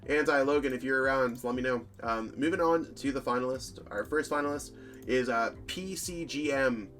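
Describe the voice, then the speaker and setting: A man of average pitch talks through card game deck lists in a loose, rambling way, his voice slightly hoarse. He fills gaps with ums and corrects himself mid-sentence as he goes. Faint music plays quietly behind him. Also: moderate pace. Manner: chatty, rambling, lots of fillers and self-corrections. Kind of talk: casual commentary over deck lists.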